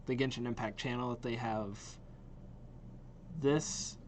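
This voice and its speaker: A man's voice: medium pitch, fairly even intonation, measured pace, a little hiss or hum. A man hosting a casual reaction vlog, talking informally and enthusiastically, with some hesitation. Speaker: video host reacting to game trailers.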